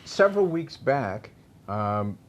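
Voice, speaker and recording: A man's voice: medium pitch, very animated, slow, slight background noise. A man on a casual panel show, telling a story with wry humor. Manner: wry, laughing. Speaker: cigar panelist.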